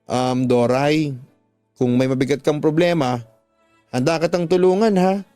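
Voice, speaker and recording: A man's voice: fairly high pitch, unhurried, warm tone, smooth, no room sound, faint music behind, close to an everyday voice. Man as an older gossip lady, motherly, coaxing, concerned, radio drama, very dry sound. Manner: coaxing, advising.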